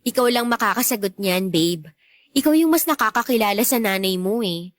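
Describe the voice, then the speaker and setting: A woman's voice: medium pitch, lively intonation, brisk, dry recording, clean studio sound, natural voice. A woman with a Filipino accent playing a supportive young girlfriend, speaking casually and earnestly in a radio drama. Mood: concerned, affectionate. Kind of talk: Tagalog radio drama dialogue.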